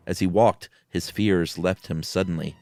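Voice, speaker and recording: A man's voice: deep voice, fairly even intonation, moderate pace, warm tone, no room sound. A man hosting and reading a horror story dramatically, with casual asides. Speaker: podcast host and story reader. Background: music.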